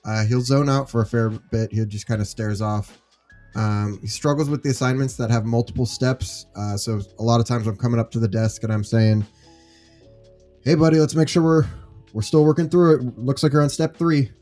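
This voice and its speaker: Hesitant, mellow man as a male high school teacher. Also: moderate pace, soft background music, close to an everyday voice. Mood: concerned, hesitant. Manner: hesitant, informal, many fillers. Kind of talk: role-played conversation in a scripted case study.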